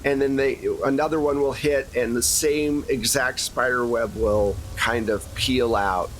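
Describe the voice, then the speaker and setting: A man's voice: mid-range voice, fairly even intonation, measured pace, fairly bright tone, slight background noise, rough recording. A man running a tabletop roleplaying game, improvising descriptive narration in a conversational way. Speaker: game master.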